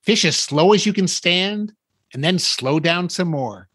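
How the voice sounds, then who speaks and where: Male host for scripted comic podcast, slightly high husky voice, recorded dry and close. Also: expressive, unhurried, a bit bright, no room sound. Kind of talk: scripted fishing tips in a comic skit.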